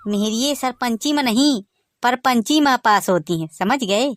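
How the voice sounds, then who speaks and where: A man's voice: extremely high pitch, lively intonation, a little slow, a bit bright, exaggerated voice. A man with a Bagheli accent voicing a joking rustic village husband who also narrates the cartoon. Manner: folksy, joking, exclaiming.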